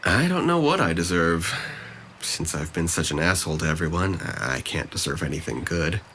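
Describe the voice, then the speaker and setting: Male actor for depressed young in a breakup drama: very low, bright-edged voice, halting, self-blaming. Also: expressive, slight background noise. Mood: self-loathing, regretful. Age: young adult. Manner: hesitant, halting, subdued. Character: depressed, self-blaming young man ending a summer romance. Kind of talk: naturalistic dramatic dialogue.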